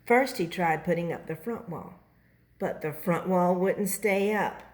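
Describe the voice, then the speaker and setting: A woman's voice: lowish voice, measured pace, darkish tone. An older woman, a grandmother, expressively reading a children's story aloud.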